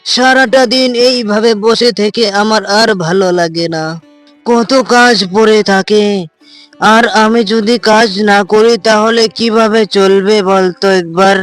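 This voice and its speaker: A voice actor playing a frail elderly village mother in a cartoon, talking slowly and fretfully. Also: medium pitch, steady tone, no echo, faint music behind, lightly acted. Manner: slow, fretful, gently scolding. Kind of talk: cartoon voice acting.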